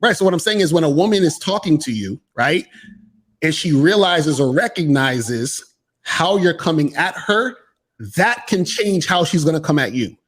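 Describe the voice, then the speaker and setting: A man's voice: on the high side, animated, normal speed, fairly bright tone. A man in casual debate, pressing the same point over and over with rephrasing and restarts. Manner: insistent, repeats himself. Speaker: dating coach. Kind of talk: argumentative casual chat.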